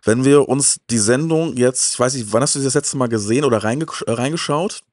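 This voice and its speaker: A man's voice: mid-range voice, fairly quick, darkish tone, dry recording, studio quality. A man, chatting casually and telling personal stories in emphatic, slangy words. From Germany. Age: early 40s. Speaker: host of a retro TV talk show. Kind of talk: casual chat, personal anecdotes. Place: indoor room with shelves of films. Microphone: handheld microphone.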